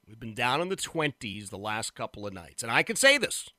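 A man from the Philadelphia area hosting a radio talk show, speaking loudly and emphatically with fillers and restarts. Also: mid-range voice, very animated, brisk, no echo, studio quality. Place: radio studio. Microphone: studio microphone.

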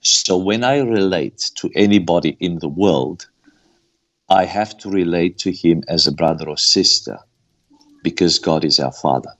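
Deep-voiced Romanian-accented man, earnest. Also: unhurried, a bit bright. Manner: earnest, repeats phrases for emphasis. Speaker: guest. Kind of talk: preaching-style interview answer.

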